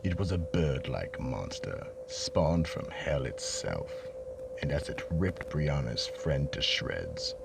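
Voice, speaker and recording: Loud noisy recording, a man as an ominous horror radio narrator, extremely deep, slow and deliberate. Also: very animated, vocal fry, heavily acted. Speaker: narrator and announcer.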